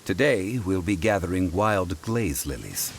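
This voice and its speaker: A dignified ancient scholar from a video game, speaking formally and unhurriedly as if giving a lesson. His voice is very deep, yet it moves through a wide, expressive range. He sounds composed and wise. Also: a little hiss or hum. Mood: instructive. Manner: formal, measured, lecturing.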